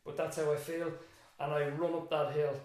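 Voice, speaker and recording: A man's voice: a little high, little pitch movement, moderate pace, a little mellow. An Irish man, a teacher, talking reflectively in a casual interview and building up emphatic lists. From Ireland. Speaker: teacher, podcast guest. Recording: very echoey room.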